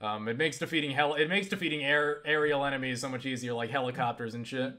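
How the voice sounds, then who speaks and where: Bright, forceful young-sounding man rambling casually. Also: medium pitch. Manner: halting, sweary, animated. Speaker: video creator answering viewer questions. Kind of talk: casual rambling game chat.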